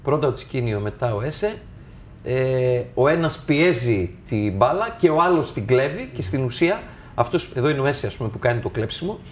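A man's voice: mid-range voice, animated, slight background noise. A man talking as a football analyst, walking through match clips step by step and addressing viewers. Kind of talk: tactical match analysis over video.

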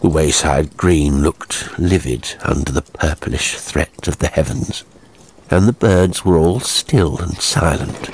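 A man narrating a scripted horror story in a measured, theatrical way. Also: a bass voice, slight background noise.

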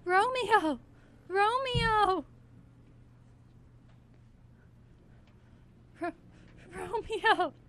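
A woman's voice: very high voice, lively intonation, drawn-out words, fairly bright tone, slight background noise, full character voice. A woman acting a devoted, emotional young college girl in a live amateur radio play. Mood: anxious calling. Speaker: student radio actor.

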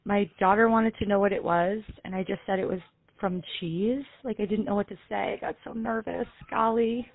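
A woman acts out an anxious, pious mother in a prank phone call. She is earnest and halting, pleading for guidance in a mid-pitched voice. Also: fairly even intonation, no room sound, lightly acted. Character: flustered, pious, anxious mother seeking advice. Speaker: prank caller on a comedy show.

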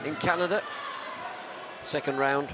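A man commentating live on boxing in a measured way, repeating phrases for emphasis. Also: fairly high pitch, fairly even intonation, a crowd in the background. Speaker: lead fight commentator. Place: ringside in a large indoor arena. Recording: very noisy live arena.

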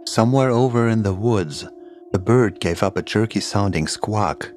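A man's voice: on the low side, moderate pace, over music. A man reading a scripted narration as the narrator of a horror podcast.

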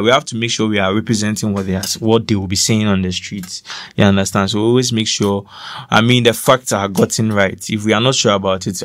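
A man's voice: fairly low pitch, a bit bright, dry recording, studio quality. A young man with a Ghanaian accent, co-hosting a podcast and talking earnestly, often checking "you understand?". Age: young adult. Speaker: podcast co-host. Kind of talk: casual podcast discussion.

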